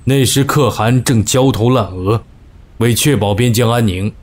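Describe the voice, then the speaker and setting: An actor playing an earnest, scheming court adviser, formal and deferential, in an ancient-costume audio drama. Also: male voice, medium pitch, measured pace, lightly acted. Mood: hesitant.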